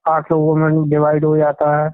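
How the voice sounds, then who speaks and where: A man's voice: fairly high pitch, monotone, moderate pace, clean tone, dry recording. A man on a phone call, hesitantly summing up what he was told in plain words. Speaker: caller being pitched to. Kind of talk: phone conversation. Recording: tinny phone line, dry.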